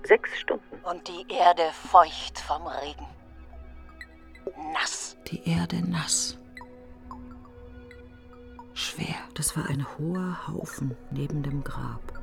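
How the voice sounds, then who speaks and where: Grieving bitter woman, radio play monologue, halting half whispers, bit of fry, faint music behind. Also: low voice, fairly even intonation, exaggerated voice. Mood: numb, brooding. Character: bitter grieving woman, alone after best friend's funeral.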